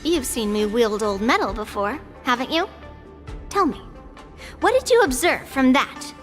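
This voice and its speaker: Game role: confident girlish sword fighter, instructive and boastful, high lively pitch, music underneath. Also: measured pace, big-room sound. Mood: upbeat. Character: confident young swordswoman, boastful but fair-minded mentor. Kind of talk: video game voice acting.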